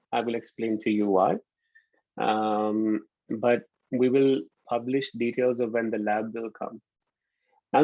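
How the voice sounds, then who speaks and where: A man from Pakistan in his thirties giving a relaxed online university lecture, often pausing with ums. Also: medium pitch, creaky, no echo. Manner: relaxed, hesitant with frequent ums. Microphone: computer mic on a video call. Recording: dull lo-fi video call audio.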